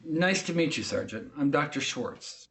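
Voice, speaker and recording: An actor playing a polite, probing clinician in a training film interview, asking direct questions formally. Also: male voice, a little high, lively intonation, moderate pace, a bit bright. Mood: polite, inquiring.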